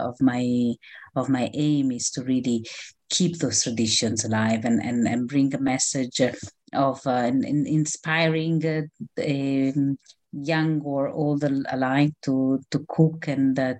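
A woman's voice: rumbling low, darkish tone. A middle-aged woman from Rome with an Italian accent, answering interview questions conversationally and searching for her words. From Rome, Italy. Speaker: interview guest, cookery writer.

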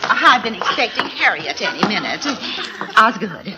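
A woman's voice: medium pitch, very animated, normal speed. An actress playing a cheerful middle-aged wife and mother in an old radio sitcom, fluttery and singsong. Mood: fluttery, pleased. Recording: noisy vintage radio recording.

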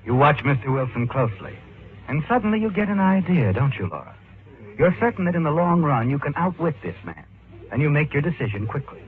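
An actor playing a knowing, ominous narrator of a suspense tale, deliberate and dramatic in 1940s radio style. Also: male voice, a little high, sing-song, slight background noise. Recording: tinny old radio recording, music behind.

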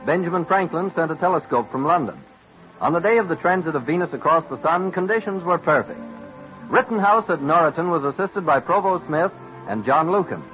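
A man's voice: a little high, animated, fairly quick. A man giving formal, declamatory scripted narration for a historical radio drama in a 1940s style. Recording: dull vintage radio recording with music.

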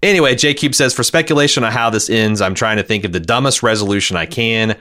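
A man with a fairly low voice hosts a casual podcast. He talks through television recaps and listener comments as an opinionated critic. His delivery is exasperated and salted with profanity. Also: quick pace, pushed and bright. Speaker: podcast host. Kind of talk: casual TV recap discussion, reading listener feedback.

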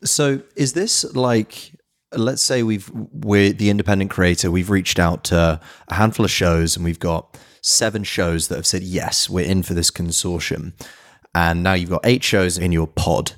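A man's voice: deep voice, steady tone, a little slow. A man hosting a podcast interview in a conversational way, thinking aloud and restating ideas as questions.

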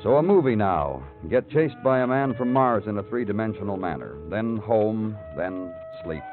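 A man's voice: lowish voice, expressive, normal speed, natural voice. Man acting a moody old-radio police detective, tinny sound. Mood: brooding, wry. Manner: terse, insistent questioning, moody narration. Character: dogged, wry police detective who narrates his case. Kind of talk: 1950s radio crime drama acting. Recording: old radio, phone-like band, music.

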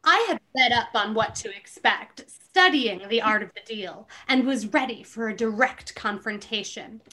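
A woman's voice: fairly high pitch, expressive, normal speed, sharp tone, poor audio. A woman hosting a podcast, giving a dramatic book reading with casual, amused asides and theatrical emphasis.

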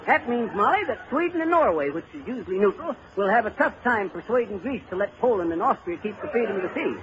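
An actor playing a shirking, blustering husband with folksy grammar and evasive hemming, in 1930s radio comedy style. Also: male voice, high-pitched, sing-song, fast, fairly bright tone. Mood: eager know-it-all. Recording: muffled, noisy old radio recording.

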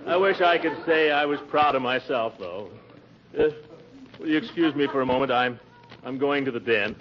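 An American actor playing a flustered, pompous but good-hearted middle-aged uncle in a 1940s radio comedy. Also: male voice, medium pitch, moderate pace, lightly acted. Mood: troubled, earnest. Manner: theatrical, flustered, hemming. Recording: muffled, noisy old radio recording.

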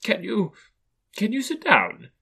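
A man's voice: fairly high pitch, sing-song, normal speed, bright, forceful tone, voice pushed up, full character voice. A man voicing a caustic, cold, sceptical old miser in a blustering, exclamatory manner for a storybook. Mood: doubtful.

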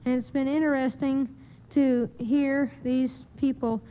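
A young American woman reading a prepared report on 1950s radio in a measured, formal way. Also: sits high, steady tone, smooth. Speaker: quiz show panelist. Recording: dull vintage 1950 radio recording.

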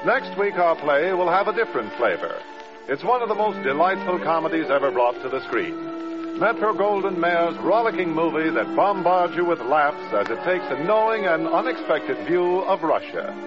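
A male American announcer from 1950s radio reads his script with lively, swinging pitch. Music plays clearly behind him. The vintage recording sounds dull. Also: normal speed. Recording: muffled old radio broadcast recording.

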